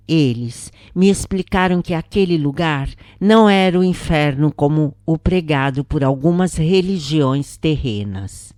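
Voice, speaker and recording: A woman with a Brazilian accent narrating a first-person spiritual story in a solemn, measured storytelling manner. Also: low-pitched, warm tone, dry recording, clean studio sound.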